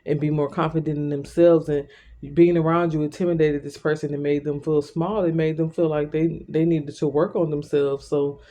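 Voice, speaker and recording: Male tarot reader, slightly high pitch, very mellow relaxed tone, conversational, repetitive, direct address. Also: normal speed. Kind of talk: informal spoken tarot card reading.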